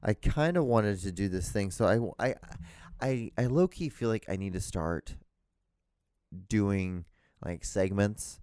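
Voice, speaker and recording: A man hosting a solo podcast, rambling loudly with many fillers and restarts into a handheld digital recorder. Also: lowish voice, lively intonation, measured pace, clear, resonant tone, no echo, clean studio sound. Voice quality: loud voice. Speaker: podcast host.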